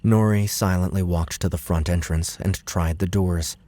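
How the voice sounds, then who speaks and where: A man's voice: deep voice, fairly even intonation, normal speed, mellow, slight background noise. A man reading dramatic scripted narration as an audiobook narrator.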